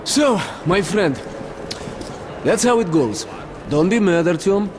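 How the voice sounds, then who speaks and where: An actor playing a talkative, falsely friendly military major in a video game, with a Russian accent and expressive, chatty delivery. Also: male voice, sits high, slow, background noise. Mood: calmly persuasive. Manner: chatty, expressive, peppering speech with foreign exclamations. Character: boisterous, talkative Red Line army major, falsely friendly.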